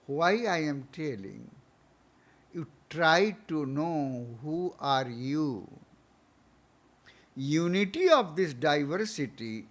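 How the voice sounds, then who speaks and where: A man giving a spiritual lecture, teaching through repeated questions and swelling loudly on key words. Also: medium pitch.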